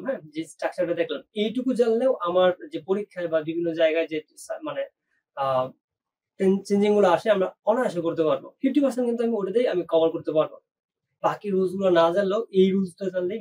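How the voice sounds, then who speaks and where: Male teacher, Bangladeshi accent, high voice, quick delivery; explanatory question-and-answer lecturing. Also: darkish tone, far from the mic, rough recording. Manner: explanatory, poses questions and answers them, repeats key phrases; code-switches with English terms. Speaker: English grammar teacher. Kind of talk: instructional online lecture.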